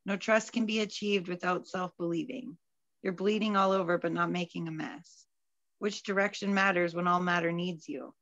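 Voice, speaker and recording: A woman's voice: lowish voice, steady tone, moderate pace, a bit bright, dry recording. A woman, a poet at an open mic, speaking over a video call from home. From Alberta, Canada. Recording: dull, lo-fi video call audio.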